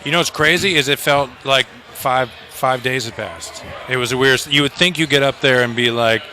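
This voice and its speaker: Bright-voiced joking rock musician. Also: male voice, mid-range voice, normal speed. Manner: laid-back, joking, rambling with restarts. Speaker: rock band member. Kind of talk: casual festival interview. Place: outdoors at a festival.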